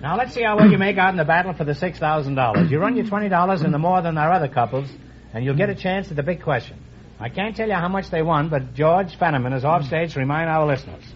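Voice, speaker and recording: A man hosting a quiz show, teasing his guests with quick jokes and puns. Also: on the high side, a crowd in the background. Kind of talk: wisecracking quiz show banter. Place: radio studio with live audience. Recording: dull old radio broadcast with hiss. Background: audience laughter.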